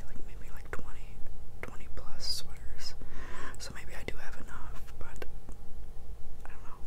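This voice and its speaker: A man in his twenties rambling casually and haltingly in a breathy, unvoiced whisper, in ASMR style, close to the mic. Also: rough recording. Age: 20s. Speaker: ASMR video creator.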